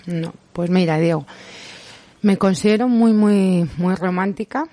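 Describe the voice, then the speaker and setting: A woman's voice: lowish voice, warm tone, no room sound. Reflective young Spanish woman, dry sound. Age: young adult. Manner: reflective and open, pauses often with hesitations. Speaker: interviewee. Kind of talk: personal memories in casual conversation.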